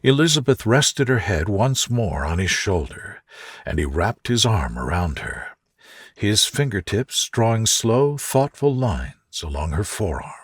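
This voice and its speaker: A man narrating a bedtime story in a soft, gentle, soothing and unhurried manner. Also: lowish voice, very animated, husky, no echo, studio quality. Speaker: narrator, reader.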